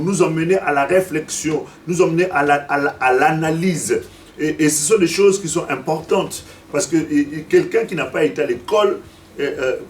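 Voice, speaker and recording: Halting African-born pastor preaching from afar. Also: male voice, on the high side, a little hiss or hum. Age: 50s. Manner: halting, repeats words while searching for them; asks the congregation direct questions. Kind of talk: sermon. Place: church service. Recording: distant mic with faint room ambience.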